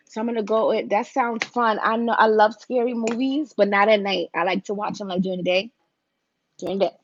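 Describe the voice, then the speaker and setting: Raspy woman chatting thru her eye makeup on a live, dull dry lo-fi sound. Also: mid-range voice, no echo. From Virginia, US. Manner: chatty, talks viewers through each step. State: raspy, deeper voice than usual. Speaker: makeup livestreamer. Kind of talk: casual makeup tutorial livestream.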